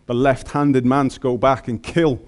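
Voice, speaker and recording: A man's voice: mid-range voice, flat delivery, moderate pace. A man with a Scouse accent preaching a sermon in a chatty, joking way, speaking into a microphone in a large room. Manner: humorous, conversational, colloquial.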